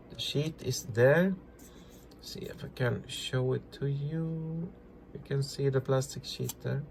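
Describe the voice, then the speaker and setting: A man presenting a casual hands-on repair tutorial, talking through each step in halting, simple non-native English. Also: medium pitch, a little mellow, a little hiss or hum. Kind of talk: casual hands-on demonstration, narrating as they work.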